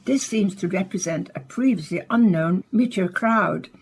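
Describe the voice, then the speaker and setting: Slightly husky woman science presenter. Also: mid-range voice, normal speed, a little mellow. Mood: bright, presenterly. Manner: polished, formal, carefully phrased. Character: composed woman radio science presenter, courteous and inquisitive. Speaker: radio presenter. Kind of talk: scripted radio play, science broadcast delivery.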